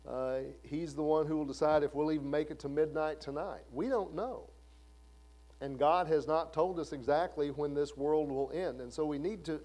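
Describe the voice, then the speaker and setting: A man's voice: a little high, a little mellow. A man in his fifties preaching a sermon in a conversational way, asking the listeners questions. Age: 50s. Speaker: pastor.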